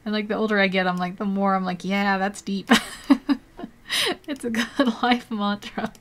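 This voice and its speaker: A woman's voice: medium pitch, steady tone, moderate pace. Young woman, art livestream host, chatty and giggly, rambles between steps, desk mic at home. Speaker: art streamer. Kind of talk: casual live-stream tutorial chat.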